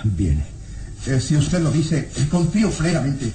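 Mellow, humble peasant helper. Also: male voice, medium pitch, very animated, unhurried, low-quality recording. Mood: hesitant, obedient. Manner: hesitant, humble. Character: deferential, hesitant peasant horseman, loyal helper.

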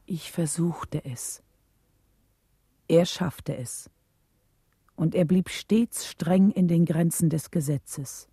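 An actress playing a composed young wife and mother, narrating a radio drama in a measured, sober way. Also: fairly low pitch, dark tone, husky, no echo, studio quality, full character voice.

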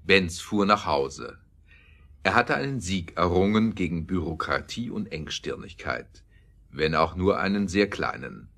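Very deep-voiced male narrator. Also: expressive, measured pace, vocal fry, a bit bright. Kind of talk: scripted narration of an audio drama.

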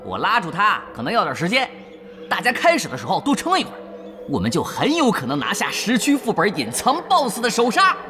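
An actor playing a brash, bossy young male leader of an online game party in an animated Chinese audio drama, with slangy excitable delivery. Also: high voice, brisk, a little hiss or hum, exaggerated voice. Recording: loud background music.

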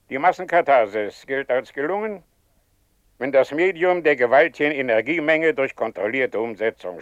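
Guy as stern official chairing a guild, formal measured radio play delivery, dry and slightly muffled. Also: mid-range voice, a bit bright, dry recording, natural voice. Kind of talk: German radio drama acting.

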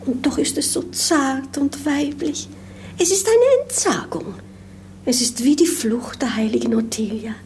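An actress in a 1950s German radio drama playing a fussy, sentimental governess with a fretful, theatrical delivery. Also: female voice, high-pitched, lively intonation, unhurried, a little mellow, slight background noise. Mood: fretful, swooning.

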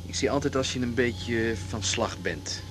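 An actor plays a calm male driver in a radio drama. His mid-pitched voice is low-key, probing and gently teasing. Vehicle noise is clearly audible around him. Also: moderate pace, lightly acted. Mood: wry, calm. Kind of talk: naturalistic Dutch radio drama acting.

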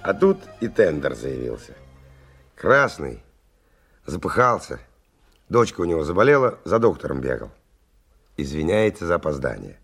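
An actor playing a hard-bitten, world-weary stalker who narrates a radio drama in a wry, colloquial, husky voice. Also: male voice, extremely low pitch, sing-song, moderate pace, long pauses, fairly bright tone, faint music behind, exaggerated voice. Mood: wry, sardonic. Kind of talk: 1990 Russian radio drama, first-person narration.

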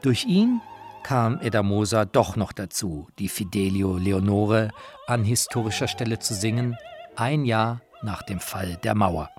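Male feature narrator, low voice, slow scripted delivery, faint music underneath. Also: expressive, a little mellow. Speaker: narrator and author of radio feature.

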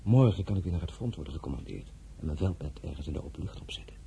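An actor playing an affectionate, proud general and new husband in a radio drama, speaking intimately and earnestly. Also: male voice, a bass voice, animated, fast talker, creaky, warm tone, slight background noise, exaggerated voice.

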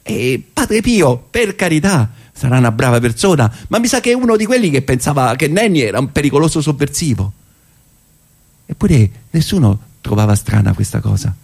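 A middle-aged man with a Roman accent delivers a theatrical monologue in a gravelly, creaky voice. He talks colloquially, as if recounting a memory, hesitating and dropping in wry asides. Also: mid-range voice, very animated, moderate pace, darkish tone. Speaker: stage monologue performer. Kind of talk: theatrical first-person narrative monologue in colloquial speech.

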